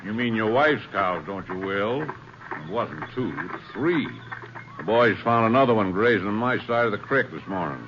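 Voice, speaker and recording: A bullying, taunting cattleman acted by a man in a 1950s radio western. His voice moves in animated swings as he needles and mocks. The old recording is muffled, with faint noise. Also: on the low side, lightly acted. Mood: mocking, smug. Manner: mocking, drawn-out needling. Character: taunting, domineering cattleman out to grab land.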